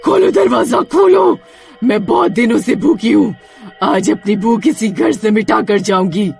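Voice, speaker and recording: A woman voicing a hungry, vengeful village witch in a horror story, creaky and menacing with drawn-out words. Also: fairly high pitch, music in the background. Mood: demanding. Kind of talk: Hindi horror story character acting.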